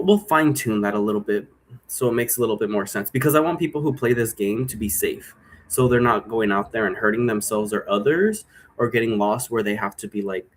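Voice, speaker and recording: A man explaining a role-play game in a casual, improvised, rambling way, often checking for agreement. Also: on the low side. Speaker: game creator explaining rules.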